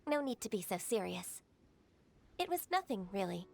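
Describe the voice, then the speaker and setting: A gentle, modest young secretary. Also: female voice, mid-range voice, big pitch swings, moderate pace, vocal fry. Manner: soft-spoken, polite, modest. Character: gentle, reliable young woman secretary, kind mentor. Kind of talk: video game voice acting. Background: game music.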